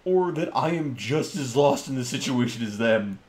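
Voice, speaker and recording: Animated man chatting through a tabletop game. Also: mid-range voice, normal speed. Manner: animated, thinking aloud. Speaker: player on a game stream. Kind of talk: casual tabletop roleplay chat. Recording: noisy game stream.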